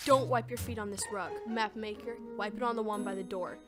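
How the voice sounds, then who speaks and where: A woman's voice: medium pitch, steady tone, fairly quick, over music, lightly acted. A woman playing a grumpy, snappish, sarcastic housekeeper in a comic audio drama. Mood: irritated, scolding. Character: grumpy, scolding female housekeeper.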